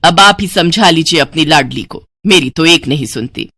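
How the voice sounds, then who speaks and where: A woman's voice: low voice, moderate pace, sharp tone, slightly rough, exaggerated voice. A woman playing a grumbling, sarcastic middle-aged mother in an audio story. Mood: exasperated. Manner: scolding, sarcastic.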